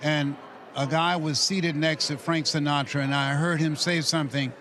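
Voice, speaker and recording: A man's voice: fairly high pitch, steady tone, moderate pace, fairly bright tone, a little hiss or hum. A man of eighty giving motivational encouragement in conversation as a guest, in a preacherly way full of maxims. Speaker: motivational speaker, interview guest.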